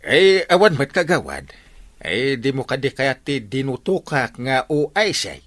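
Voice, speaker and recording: An actor with an Ilocano Filipino accent playing a comic village captain who whines and hesitates, in radio comedy drama style. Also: male voice, medium pitch, big pitch swings, a little slow, a bit bright. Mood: hesitant, grumbling. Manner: whiny, exclaiming, hesitant. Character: comic village captain, whining and groaning over a bellyache.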